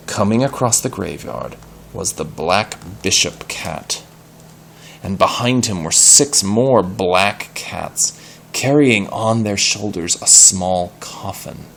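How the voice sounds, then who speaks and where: A low-voiced man reads a story aloud with expression and patiently explains words as he goes. His voice has a gravelly fry, and there is some background noise on the recording. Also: expressive, slow, a bit bright.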